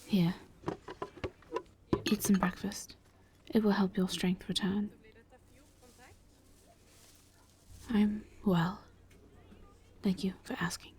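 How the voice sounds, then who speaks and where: A woman playing a gentle, reserved vampire in a roleplay audio drama, speaking in a hushed, breathy voice with careful, halting, formal phrasing. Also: mid-range voice, flat delivery, slight background noise, close to an everyday voice. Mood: gentle, caring. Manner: halting, careful, formal diction without contractions. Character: gentle, reserved female vampire travelling with a knight.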